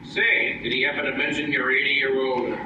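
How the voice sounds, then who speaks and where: A man's voice: mid-range voice, steady tone, moderate pace, highs cut off, natural voice. A man playing a cheeky, teasing, girl-chasing vaudeville straight man with theatrical delivery in an old-time radio comedy routine. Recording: echoey hall, distant mic, noisy.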